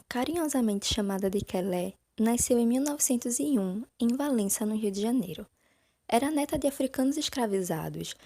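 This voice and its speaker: A woman reads a scripted narration in a measured, informative way. Her voice is slightly high and breathy, with a husky edge. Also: mellow, no room sound.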